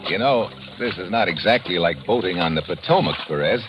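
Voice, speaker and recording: An actor with an American accent playing a wry, unflappable newspaper correspondent in an old radio drama, with dry, casual delivery. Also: male voice, sits low, animated, brisk, lo-fi sound, loud music behind the voice. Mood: cool, wisecracking. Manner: dry, wisecracking, casual slang. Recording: noisy vintage radio recording.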